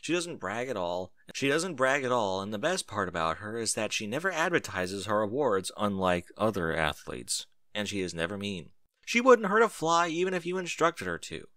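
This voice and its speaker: A man's voice: lowish voice, big pitch swings, moderate pace, sharp tone, no echo, studio quality. A man voicing an earnest, know-it-all pony in a story, explaining things emphatically. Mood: earnest, advising.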